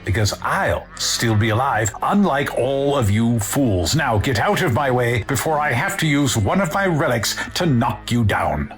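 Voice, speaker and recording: A pompous, cowardly male official, played by an actor in an audio drama, sits at a middling pitch. He is scornful and self-centered, with light music underneath. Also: very animated, unhurried, few pauses. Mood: haughty defiance.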